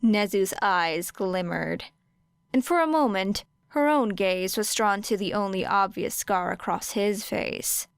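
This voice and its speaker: Slow, clear-voiced woman narrating fiction. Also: medium pitch, dry recording, studio quality. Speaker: audiobook narrator.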